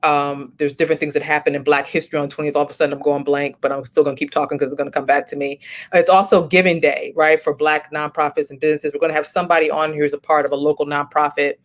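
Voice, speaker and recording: A woman's voice: deep voice, little pitch movement, quick pace. A woman hosting a casual livestream, chatty and encouraging, thinking aloud as she talks. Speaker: host.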